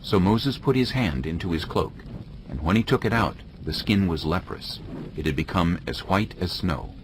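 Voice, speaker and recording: A man's voice: low-pitched, expressive, moderate pace, background noise. A man narrating a dramatized scripture reading in a scripted storytelling style.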